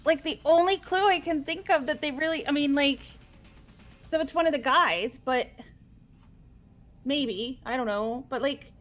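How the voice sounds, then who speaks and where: A woman's voice: high-pitched, unhurried, long pauses, fairly bright tone, faint music behind. A woman talking casually as she reasons through a game mystery with friends. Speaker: video game player discussing a mystery with friends. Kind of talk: casual group chat.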